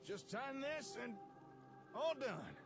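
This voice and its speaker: An actor playing a friendly, eager settlement builder in a video game, explaining things in a casual, helpful way. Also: male voice, very high-pitched, sing-song, slow pace, over music, full character voice. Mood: helpful, confident. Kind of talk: scripted video game dialogue.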